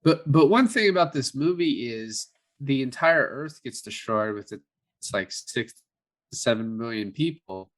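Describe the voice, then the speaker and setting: A man's voice: medium pitch, lively intonation, a little slow. A man co-hosting a podcast in a casual film review chat, hedging as he works through his thoughts. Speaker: podcast co-host.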